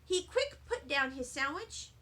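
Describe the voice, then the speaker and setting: A woman reads a children's book aloud with expressive, deliberate delivery. Her voice is bright and high, and she takes her time through each sentence. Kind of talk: animated read-aloud storytelling in a child's first-person narration.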